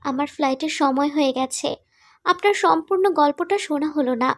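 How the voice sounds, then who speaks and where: A woman playing a concerned, questioning young friend in an animated romantic drama. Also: sits high, fairly quick, no echo, close to an everyday voice. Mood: curious, concerned.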